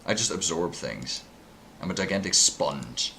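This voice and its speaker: A man's voice: low-pitched, animated, a little slow, creaky, pushed and bright, a little hiss or hum. A young man from Norway with a Norwegian accent, co-hosting a casual podcast chat, rambling and joking. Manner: rambling, jokey, loses his train of thought. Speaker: podcast co-host.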